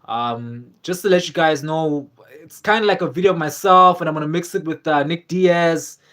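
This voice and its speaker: A man's voice: fairly high pitch, normal speed, a bit bright. Easygoing young man. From South Africa. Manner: rambling, many fillers and repeats, reads chat aloud. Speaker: livestream host. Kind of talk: casual live stream chat.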